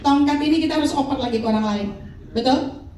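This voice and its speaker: Female pastor, 50s, high voice, animated call-and-response preaching, distant mic. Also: fast, slight background noise. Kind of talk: sermon. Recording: echoey hall, mic from far off.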